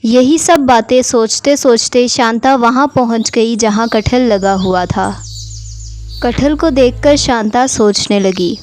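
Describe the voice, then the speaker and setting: A woman's voice: a little high, slow, darkish tone, no echo, soft background music. A woman narrating a scripted story for children.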